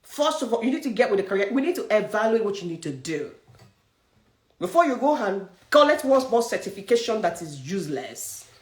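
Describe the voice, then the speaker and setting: Nigerian lady career coach preaching hard at people, pitch all over the place, roomy echo. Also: very animated, a little fast. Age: young adult. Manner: forceful, preachy, direct challenges to listeners. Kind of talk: motivational talk.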